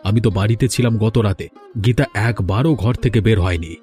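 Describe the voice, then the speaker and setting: Cartoon village man, low and grumbling, deliberate delivery over music male actor. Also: a little mellow, close to an everyday voice. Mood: weary, resigned. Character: male village thief and weary elder brother, grumbling. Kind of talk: Bengali cartoon fairy-tale dubbing.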